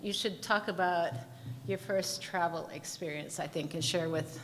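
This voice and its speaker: Woman hosting a q&a, curious casual questions, big echoey hall with clear background noise. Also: fairly low pitch, moderate pace. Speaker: interviewer at an event. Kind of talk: live Q&A at an evening event. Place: event hall.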